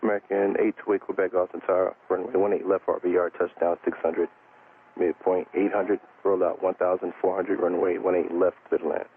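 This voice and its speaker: A man's voice: low-pitched, level tone, a little fast. A man working as a tower air traffic controller, giving clipped, formulaic instructions in a matter-of-fact way over aviation radio. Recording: narrow tinny radio transmission, faint static.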